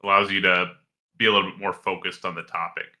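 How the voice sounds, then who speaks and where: A man moderating the show in a low-key way, trailing off between callers. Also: level tone, quick pace, sharp tone.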